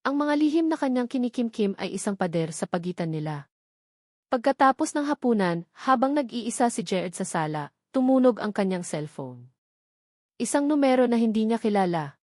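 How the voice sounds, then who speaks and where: A woman's voice: a little high, big pitch swings, moderate pace, darkish tone, dry recording, clean studio sound. A woman reading a scripted romantic drama as a story narrator.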